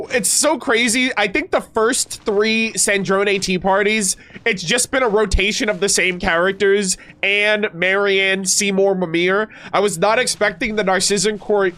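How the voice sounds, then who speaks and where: A man's voice: sits high, a little slow, fairly bright tone. A man streaming a game, chatting casually with viewers, slightly nasal and stuffed up, with many fillers and restarts.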